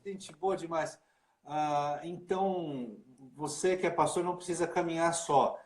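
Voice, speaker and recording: A man's voice: a little high, measured pace. A man, a pastor hosting a casual livestream chat over a video call, informal and talkative. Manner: chatty, informal, full of fillers like né and cara. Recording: echoey room.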